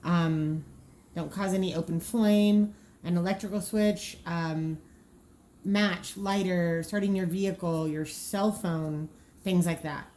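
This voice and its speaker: A woman's voice: fairly low pitch, steady tone, takes it slowly, dark tone. A woman giving an informal walkthrough as a trainer, practical and explanatory, often thinking aloud. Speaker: trainer presenting draft dispatcher training cards.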